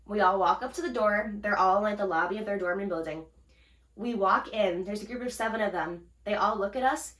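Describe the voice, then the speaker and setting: A young woman with a bright, forceful voice tells a story on a casual podcast. She is animated, acts out what people said, and laughs often. The room around her sounds echoey. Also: medium pitch, brisk. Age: young adult. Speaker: podcast co-host.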